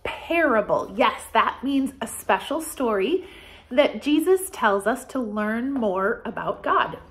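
An American woman in her forties leading a children's Bible storytime as pastor, speaking in simple, deliberate phrases meant for young children. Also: on the high side, animated. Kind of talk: children's storytime, reading and explaining. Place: small office, indoors.

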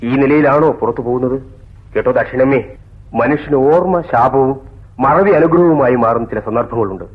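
A composed prison doctor played by a male actor in a radio drama, with a medium-pitched voice. He speaks in a measured, formal way with stern admonishment. The recording is slightly dull and lo-fi. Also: natural voice. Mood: stern, admonishing. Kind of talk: theatrical radio drama acting.

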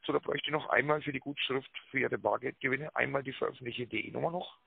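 A man giving a rehearsed telephone sales pitch, full of tag words and hesitations, with a raspy voice. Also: fast talker. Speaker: telemarketing caller pitching a prize draw. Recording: narrow tinny phone line.

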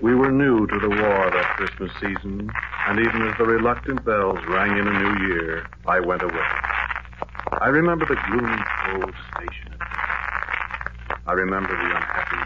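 An actor playing a haunted young soldier who speaks quietly and broodingly in a 1940s radio drama. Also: male voice, sits low, natural voice. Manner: quiet, brooding, intimate delivery. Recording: muffled 1940s radio broadcast with loud hiss.

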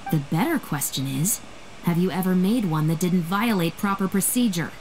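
A woman's voice: lowish voice, expressive, moderate pace, a little mellow, slight background noise, close to an everyday voice, rough recording. A woman playing a stern, dutiful young patrol captain in an anime game, formal with a dry, wry delivery. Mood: skeptical. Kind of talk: anime video game dialogue.

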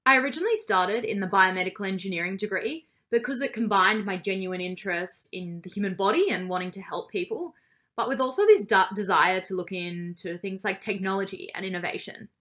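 A woman's voice: mid-range voice, fairly quick, fairly bright tone. A woman, a university student speaking earnestly about her studies into a webcam. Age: 20s. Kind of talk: casual interview answers. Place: bedroom.

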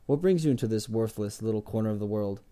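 A man's voice: on the low side, fast, warm tone, close to an everyday voice. A man voicing a guarded, sarcastic innkeeper in an audiobook, curt and cool in his commanding way of talking. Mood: guarded, probing.

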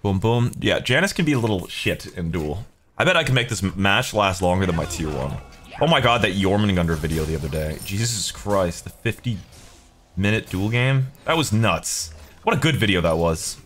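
Animated low-voiced young man gaming. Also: moderate pace. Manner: jokey, slangy, profane, talking to himself and viewers. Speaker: video game streamer. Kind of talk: casual gaming commentary.

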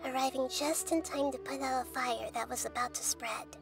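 A woman playing a gentle, wise childlike girl deity in a video game, speaking in a measured, articulate, thoughtful way. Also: high voice, fairly even intonation, creaky, music in the background.

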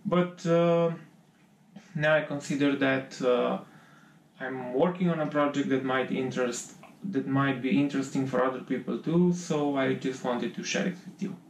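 A man's voice: medium pitch, normal speed, slight background noise, poor audio. A man in his forties from Romania with a Romanian accent, explaining a project in his home workshop, hesitant and often correcting himself. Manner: hesitant, self-correcting, many fillers. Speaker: maker vlogger. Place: home workshop with pegboard and bench.

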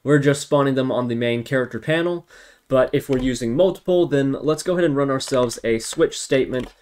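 Guy doing a coding tutorial, mid-pitched voice, thinking out loud step by step. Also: brisk, clean tone. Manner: step-by-step, thinking aloud while working. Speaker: tutorial instructor. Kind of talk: informal screen-recorded coding tutorial.

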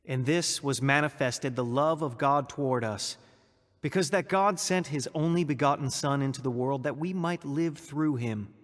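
A man's voice: mid-range voice, dry recording, close to an everyday voice. Earnest actor as a young male convert, dry studio sound. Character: earnest young convert turned pastor, grateful and eager. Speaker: narrator and lead actor. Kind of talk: dramatized first-person radio testimony.

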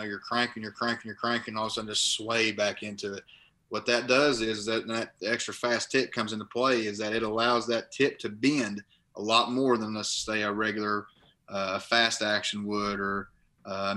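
Young male guest, bright tense voice, even monotone delivery, laptop video call from home. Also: on the low side, moderate pace. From Kentucky. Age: young adult. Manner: rambling, restarts phrases often. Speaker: guest, college linebacker and bass angler. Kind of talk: casual interview over video call. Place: living room at home. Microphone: laptop webcam on video call.

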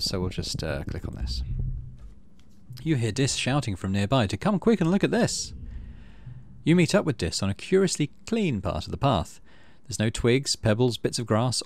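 Low-voiced man with lively intonation. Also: normal speed. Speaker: gaming channel host. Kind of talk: casual game commentary and reading out story text.

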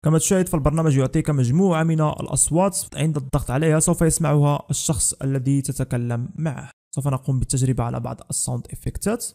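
A man's voice: a little high, very fast, vocal fry, hardly any pauses, mellow. A young man narrating a scripted tech tutorial as the host of a channel.

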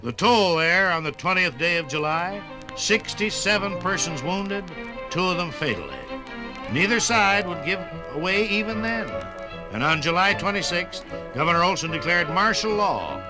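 Male announcer, fairly high voice, formal and dramatic scripted narration over music, vintage 1950s radio record. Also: poor audio.

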